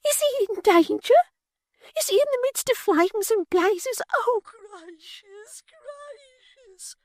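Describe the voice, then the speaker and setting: Shrill, squealing prim maid, hysterical and affected, soaring high and swooping. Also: female voice, expressive, takes it slowly, no echo, exaggerated voice. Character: prim hysterical young maidservant, woman.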